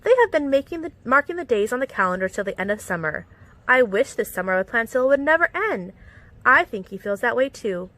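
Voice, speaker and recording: A woman reading a children's picture book aloud in an expressive, animated storytelling manner with clear enunciation. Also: on the high side, a little fast. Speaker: storytime reader. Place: outdoors among plants.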